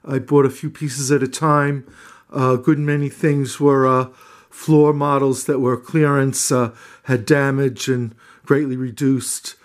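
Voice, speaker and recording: Man, homeowner type, flat monotone delivery, halting with lots of "uh"s, casual camera-held house tour. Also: mid-range voice. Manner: hesitant, many "uh" fillers and restarts. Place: small wood-paneled cottage indoors.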